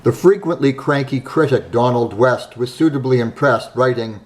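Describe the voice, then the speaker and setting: A man hosting a show, reading a scripted narration in a measured, admiring way. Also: mid-range voice. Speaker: host.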